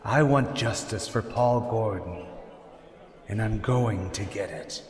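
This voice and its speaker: Slow, echoing male avenger played by an actor. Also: fairly low pitch. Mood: menacing, taunting. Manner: deliberate, ominous, coaxing and insistent. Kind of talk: radio drama acting in old serial manner. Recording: echoey hall with long reverb.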